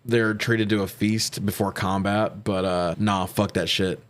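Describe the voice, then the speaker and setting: A man's voice: lowish voice, flat delivery, a little slow. A man co-hosting a movie review in casual, profane recap commentary, with an irreverent way of talking. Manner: irreverent, swears freely. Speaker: podcast co-host reviewing movies.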